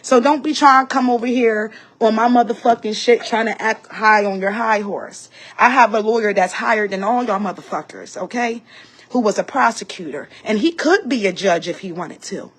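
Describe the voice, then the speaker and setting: A woman's voice: on the high side, moderate pace. A woman giving a heated, profane personal rant as a vlogger, emphatic and repeating herself as she tells her story. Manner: emphatic and repetitive, acting out others' remarks.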